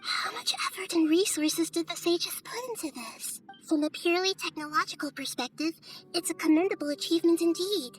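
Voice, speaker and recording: A woman playing a composed, wise young goddess in a video game, speaking in a measured, thoughtful and articulate way. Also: very high voice. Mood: calm appraisal. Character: small, composed, wise young goddess of wisdom, gentle but firm. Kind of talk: English video game cutscene voice acting. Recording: music playing behind the voice.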